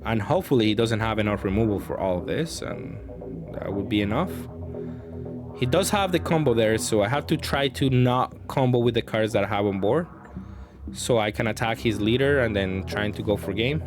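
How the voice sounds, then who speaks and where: A man with a fairly low voice commentates his own card games in a casual, unscripted way. He reasons aloud as he goes and is self-deprecating about his choices. Music plays clearly behind him. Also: moderate pace. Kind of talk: casual off-the-cuff gameplay commentary.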